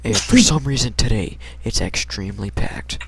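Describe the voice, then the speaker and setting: A man's voice: on the low side, animated, normal speed, slight background noise. A young American man casually telling a personal story in a rambling, slangy, hesitant way. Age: young adult.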